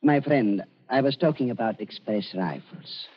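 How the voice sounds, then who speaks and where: An actor playing an elderly German doctor in a radio drama, fawning and falsely soft-hearted, with halting, repetitive speech and a German accent. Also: male voice, mid-range voice, expressive, slight background noise, close to an everyday voice. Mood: uneasy. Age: older. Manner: fawning, halting, repeats words. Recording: dull lo-fi radio recording.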